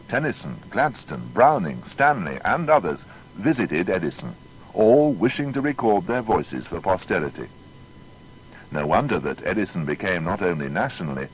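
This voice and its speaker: Deep-voiced, expressive male documentary narrator. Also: normal speed, a little hiss or hum, rough recording.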